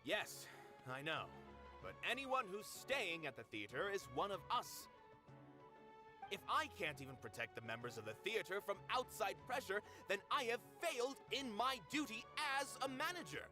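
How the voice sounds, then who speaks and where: An actor playing a dutiful middle-aged theater manager in a video game, speaking formally and precisely. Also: male voice, high voice, big pitch swings, moderate pace, music in the background. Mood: firm. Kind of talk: video game cutscene dialogue.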